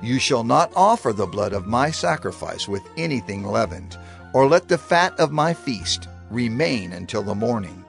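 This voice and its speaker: A gravelly, stern divine lawgiver. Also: male voice, mid-range voice, very animated, moderate pace. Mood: stern, solemn. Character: God of Israel as stern, solemn lawgiver. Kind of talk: dramatized audio Bible scripture reading. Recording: music under the voice.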